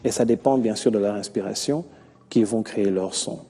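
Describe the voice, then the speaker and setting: A man with a Congolese accent answering interview questions about himself in an earnest, measured way, with hesitations. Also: on the low side, dark tone, slightly rough. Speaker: musician being interviewed. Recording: long reverberant hall-like echo.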